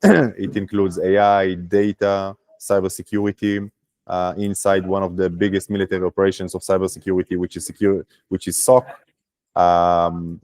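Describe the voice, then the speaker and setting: A man from Israel, a startup founder, talking casually on a video call with many pauses and fillers. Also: low voice, a little mellow, no echo.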